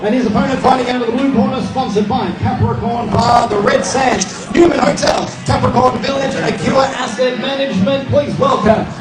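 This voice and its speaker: A man working as master of ceremonies at a boxing weigh-in, announcing in a loud, showy style with drawn-out introductions. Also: very high-pitched, fairly even intonation. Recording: echoey PA from far off, loud crowd and music. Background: loud music and crowd.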